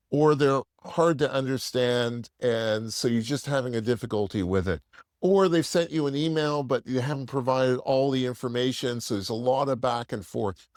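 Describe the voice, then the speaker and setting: Male demo presenter, conversational and plain-spoken explainer, mid-range pitch, very little room sound. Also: no echo, clean studio sound. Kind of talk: casual product walkthrough.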